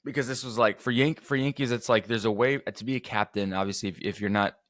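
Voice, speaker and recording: Slightly hoarse man hosting a sports podcast. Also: fairly low pitch, steady tone, fast talker, fairly bright tone, dry recording, studio quality. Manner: rambling, self-correcting, chatty. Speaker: podcast host. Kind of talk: casual sports podcast talk.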